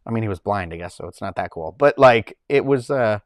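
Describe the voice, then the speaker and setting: Rambling, lively man with a Boston accent. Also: on the low side, fairly quick, clear, resonant tone, dry recording, clean studio sound. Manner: rambling, chatty, reads chat aloud. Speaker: livestream host. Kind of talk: casual livestream chat.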